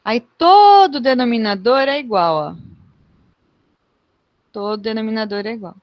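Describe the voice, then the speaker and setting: A woman explains math problems to her class in a chatty, informal way and keeps checking that students are following. Her voice sits a little high, and the sound is dry and close. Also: animated, unhurried, dry recording, lo-fi sound. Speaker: math teacher.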